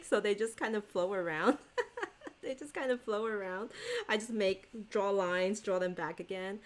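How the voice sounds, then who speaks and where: A woman whose first language is Chinese, chatting casually and rambling as she shows her planners, laughing often. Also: mid-range voice. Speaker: stationery vlogger. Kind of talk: casual chat showing planners.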